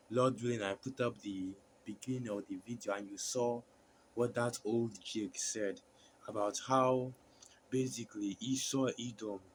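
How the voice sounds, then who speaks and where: A man with a hoarse voice from a sore throat, preaching a sermon and reading scripture in a halting way, with many fillers and restarts. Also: fairly low pitch, slight background noise, low-quality recording.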